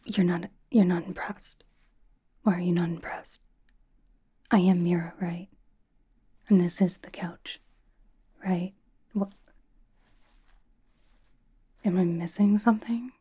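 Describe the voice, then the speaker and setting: Casting: woman playing cocky young female pop idol for ASMR roleplay, mellow breathy whisper, deadpan and teasing. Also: on the low side. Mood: insistent. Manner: slow, teasing, halting delivery with dramatic emphasis.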